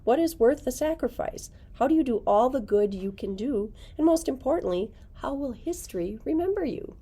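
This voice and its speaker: A woman's voice: high-pitched, expressive, normal speed, dark tone, husky. A middle-aged woman, a librarian, recommending books and reading their blurbs aloud to a camera in a library. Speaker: librarian presenting book picks.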